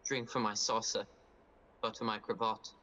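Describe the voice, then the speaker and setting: An actor playing an awkward, earnest young clerk in a radio play, nervous and halting. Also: male voice, on the low side, flat delivery, bright, forceful tone. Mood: flustered.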